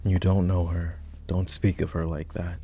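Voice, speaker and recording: A man playing a proud, bitter lowborn knight and war hero in a dramatic fantasy roleplay audio, with a sardonic, scornful manner. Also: little pitch movement, moderate pace, dark tone, slight background noise. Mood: grieving, bitter. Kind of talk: fantasy roleplay ASMR voice acting.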